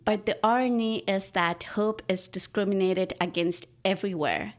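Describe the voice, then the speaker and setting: Woman artist, native Urdu speaker, earnest podcast guest, mid-pitched. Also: steady tone, normal speed. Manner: earnest, reads her own writing aloud. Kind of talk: podcast interview.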